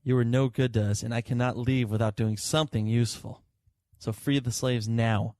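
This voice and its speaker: A man's voice: medium pitch, steady tone, moderate pace, a little mellow, no room sound, lightly acted. A man as an insistent detective, closely dry-recorded. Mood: righteous, resolute. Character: tired, idealistic, hot-headed male police detective. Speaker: police detective. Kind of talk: hard-boiled sci-fi audio drama acting.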